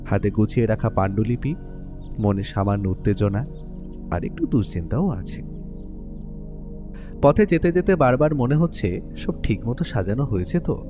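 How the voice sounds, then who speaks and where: A man playing a detective's observant writer companion, narrating a mystery in the first person with expressive, literary storytelling. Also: mid-range voice, natural voice. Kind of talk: scripted dramatic story narration. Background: clear music bed.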